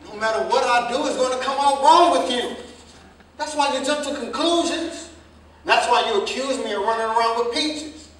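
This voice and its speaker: A man's voice: very high-pitched, fairly bright tone. A man acting an earnest, defensive young working man pleading his case in a staged drama reading, with emphatic, impassioned vernacular delivery. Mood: exasperated, defensive. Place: live staged reading, recorded from a distance indoors. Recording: very echoey room, distant mic, faint noise.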